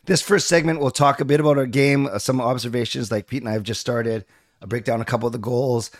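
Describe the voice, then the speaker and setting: A man hosting a casual sports podcast, his voice raspy and hoarse from a cold, talking in a chatty, easygoing way. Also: medium pitch, fairly even intonation, fast. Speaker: podcast host.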